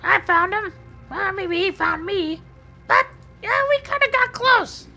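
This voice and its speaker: A woman's voice: very high voice, lively intonation, unhurried, bright, forceful tone, faint music behind, exaggerated voice. A woman voicing a small young adventurer in a tabletop roleplaying game. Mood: hesitant, fond.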